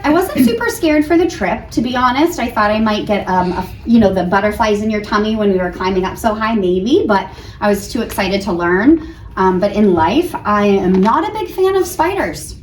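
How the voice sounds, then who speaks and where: A woman's voice: mid-range voice, sing-song, normal speed, a little mellow. A woman from New Hampshire with an American accent, a teacher giving chatty, earnest answers in a casual interview. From New Hampshire, United States. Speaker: elementary school teacher being interviewed. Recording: roomy, distant mic, faint background noise.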